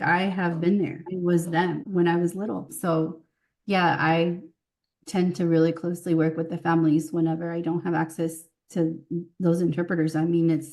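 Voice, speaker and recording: A woman's voice: lowish voice, a little mellow. A woman with a Californian accent, telling anecdotes in a casual, conversational interview as a guest speech-language pathologist.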